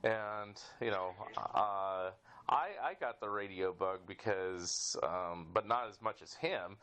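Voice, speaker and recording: Low-voiced middle-aged man, rambling chat. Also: slow, a bit bright, clean tone. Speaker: podcast host. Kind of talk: casual chat interview.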